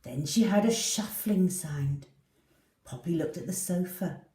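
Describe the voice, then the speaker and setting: A woman's voice: lowish voice, expressive, a little slow, dark tone. A woman reading a children's story aloud with theatrical, dramatic delivery. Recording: echoey room, distant from the mic.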